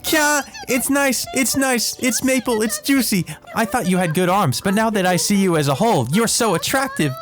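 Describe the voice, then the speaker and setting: A man's voice: high-pitched, lively intonation, normal speed, hardly any pauses, fairly bright tone, faint music behind, voice pushed up. A man voicing a flirtatious, eccentric woman doctor from a visual novel, with a gushing, sing-song teasing manner. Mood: gushing delight. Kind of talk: visual novel character read-aloud.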